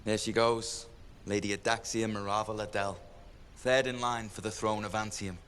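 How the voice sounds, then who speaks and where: Casting: pompous adoring admirer voiced by a man, fairly low pitch, grandiose delivery, game cutscene. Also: flat delivery, moderate pace, a bit bright, long reverb tail, a little hiss or hum, lightly acted. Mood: adoring, smug. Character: pompous, lovestruck male suitor. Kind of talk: video game cutscene acting.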